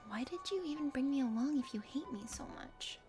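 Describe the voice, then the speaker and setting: A stubborn young woman guide from a fantasy game, played by a woman reading aloud. Her high voice swings widely as she scolds and pleads in an exasperated, plain-spoken way, with music behind her. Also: expressive, brisk. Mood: indignant. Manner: indignant, scolding. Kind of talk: dramatic game character reading.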